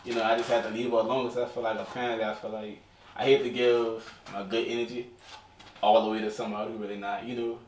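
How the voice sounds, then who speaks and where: A man in his early twenties chatting casually as a podcast co-host, telling things in a slangy, animated way. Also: fairly low pitch, fast talker. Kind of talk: casual group chat. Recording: echoey room, distant mic, faint noise.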